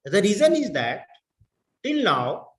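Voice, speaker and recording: A middle-aged man from India with an Indian English accent, giving a deliberate lecture to fellow educators, repeating words and mixing in Hindi. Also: sits high, wildly swooping pitch, echoey room. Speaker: professor.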